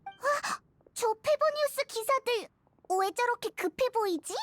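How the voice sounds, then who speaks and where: Tiny nosy fairy girl, very high childlike squeak, bouncy melody, excitable game companion, exclamatory. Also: measured pace, no room sound. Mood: curious. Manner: exclamatory, expressive. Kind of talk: animated game dialogue acting.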